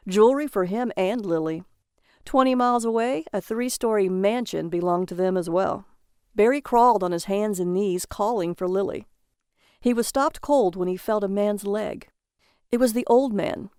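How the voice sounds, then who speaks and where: A woman's voice: medium pitch, dark tone, dry recording, clean studio sound. A woman reading a scripted short story as the narrator of audio fiction.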